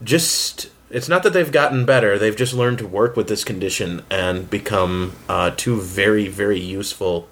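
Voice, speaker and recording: A man with a fairly low voice talks in a relaxed round-table setting. He explains his writing in a casual way, often halting and hesitating as he picks his words. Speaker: fiction writer on a round-table panel.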